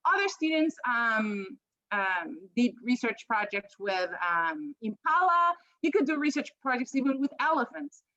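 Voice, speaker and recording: A woman's voice: high voice, expressive, a little slow, bright, forceful tone, dry recording, muffled recording. A woman from Peru with a strong Peruvian Spanish accent, a biology professor presenting over slides on a video call, often hesitating with ums and restarts.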